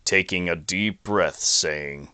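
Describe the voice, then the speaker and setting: A man's voice: low voice, takes it slowly, bright, forceful tone, clean tone, no room sound, raised voice. A man reading scripted narration for a fan-made audio drama. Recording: noisy home recording with clicks.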